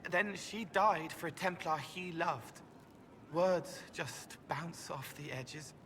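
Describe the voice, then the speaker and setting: An actor playing a gentle, otherworldly young man in a video game, speaking in dreamy, halting, poetic fragments. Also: on the high side, slight background noise. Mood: quiet, haunted. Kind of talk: video game dialogue acting.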